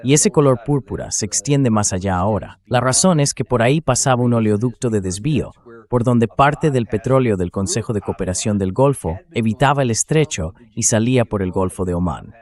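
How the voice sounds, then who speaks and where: A man's voice: medium pitch, animated, brisk, a little mellow. An AI-generated man's voice hosting conversational news commentary. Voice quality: synthetic AI translation voice. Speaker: host commentator.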